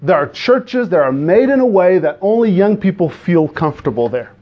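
Guest preacher, man with a Portuguese accent, slightly raised pitch, dull lo-fi sound, stumbling earnestness. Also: sing-song. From Azores, Portugal. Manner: earnest, halting delivery with frequent restarts, asks the congregation questions. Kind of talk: sermon.

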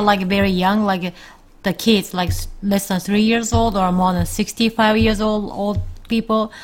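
A woman's voice: medium pitch, low-quality recording. A woman from Japan with a Japanese accent, a native Japanese speaker chatting casually in English and often searching for words as co-host. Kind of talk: casual chat. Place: home in Japan.

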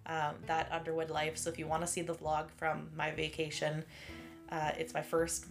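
Woman, late twenties, stuffy nose, low-ish voice, casual podcast chat, faint music. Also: completely flat delivery, moderate pace. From Canada. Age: late 20s. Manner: chatty, rambling, laughs often. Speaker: podcast co-host.

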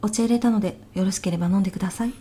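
An elderly widow played by a woman in a short radio comedy. Her voice is mellow and warm in tone, at a medium pitch. She speaks softly and courteously, answering with puzzled, deadpan politeness. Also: racing, breathy edge, natural voice. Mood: polite, wistful. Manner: soft-spoken, polite, deadpan reactions. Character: elderly widow, polite housewife, increasingly bewildered. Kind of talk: audio comedy sketch acting.

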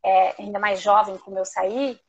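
A woman with a Brazilian Portuguese accent speaking as a guest in a live online interview, telling personal stories in a talkative, expressive, conversational way. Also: mid-range voice, normal speed, breathy edge. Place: remote video call.

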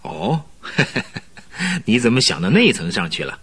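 A company director in a radio play, loud and energetic. His husky voice is bright and forceful, swinging widely in pitch as he persuades and patronizes with scheming heartiness. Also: unhurried, heavily acted. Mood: jovial, persuasive. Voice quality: husky, robust. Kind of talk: Mandarin radio drama acting.

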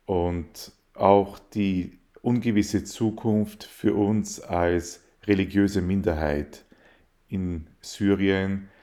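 A man's voice: low voice, fairly even intonation, very slow, warm tone. A young man, answering interview questions in a thoughtful, hesitant way. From Austria. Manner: thoughtful, hesitant with fillers and restarts. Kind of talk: casual interview.

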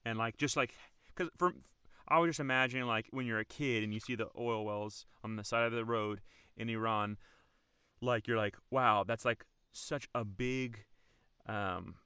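Smooth-voiced chatty male host. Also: mid-range voice, fairly even intonation, moderate pace, sharp tone, dry recording, clean studio sound. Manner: chatty, rambling, lots of "like" and "um". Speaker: podcast host. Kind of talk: casual chat.

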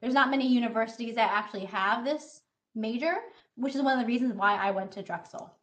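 Woman scientist, thirties, higher voice, friendly explaining tone, video call. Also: brisk, a bit bright. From Taiwan, raised in the United States. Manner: friendly, explanatory, with filler uhs. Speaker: scientist giving a talk to kids. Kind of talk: online presentation to students.

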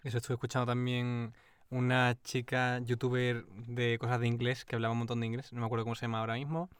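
A young man with an Andalusian Spanish accent, co-hosting a casual podcast, curious and quick to exclaim in surprise. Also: medium pitch, monotone, clear, resonant tone, no room sound. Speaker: podcast co-host. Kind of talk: casual chat.

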